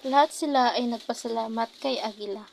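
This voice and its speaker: A woman's voice: a little high, brisk, gravelly, a little mellow, rough recording. A woman narrating a scripted children's puppet story, with faint noise behind.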